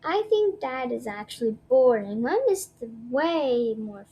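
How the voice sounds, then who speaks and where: A woman's voice: a little high, very animated, unhurried, mellow, slightly rough, heavily acted. A woman voicing a playful, impatient young puppy girl from a storybook, in a childlike, whiny way. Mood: complaining.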